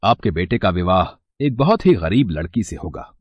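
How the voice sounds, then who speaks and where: A man voicing a solemn priest in an animated story, speaking in a grave, measured way with a husky voice. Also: sits low, dry recording, lo-fi sound, full character voice. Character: solemn male priest reading horoscopes.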